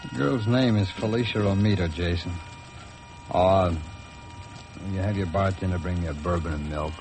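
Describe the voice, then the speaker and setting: A low-voiced, unimpressed business manager speaks in a matter-of-fact way. It is vintage radio drama, with clear noise on the recording. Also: male voice, normal speed, darkish tone. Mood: businesslike. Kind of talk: 1950s American radio drama acting.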